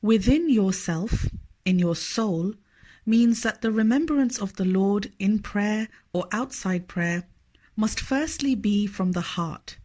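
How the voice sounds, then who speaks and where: A woman reading a scripted scripture study aloud in a measured, formal manner. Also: mid-range voice, expressive, dark tone. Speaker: reader of a religious study text.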